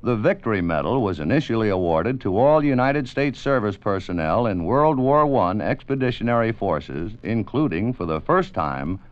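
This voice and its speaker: 50s radio announcer guy, low and formal, big swooping delivery, muffled old audio. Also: very animated, moderate pace, hardly any pauses. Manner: formal, declamatory. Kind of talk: scripted 1950s radio public-service narration. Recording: dull old radio recording.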